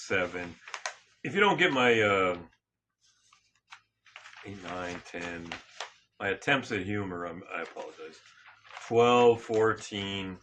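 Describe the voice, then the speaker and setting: A man hosting a casual sports talk show, slightly hoarse, rambling and joking with frequent restarts and self-corrections. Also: on the low side, a bit bright, slight background noise. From California. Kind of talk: casual solo sports and politics chat.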